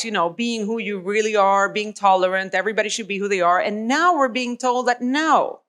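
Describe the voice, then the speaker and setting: A woman's voice: medium pitch, fairly bright tone. Woman lecturing with rhetorical questions. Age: adult with a young child. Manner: conversational, frequent fillers and rhetorical questions. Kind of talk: public lecture.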